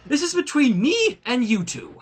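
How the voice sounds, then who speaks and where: Man putting on a shrill, vain, indignant girl pony, Trixie. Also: huge pitch swings, normal speed, a little hiss or hum, heavily acted. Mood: haughty, petulant. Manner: haughty, demanding, melodramatic. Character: Trixie, vain, indignant young female pony, petulant would-be usurper.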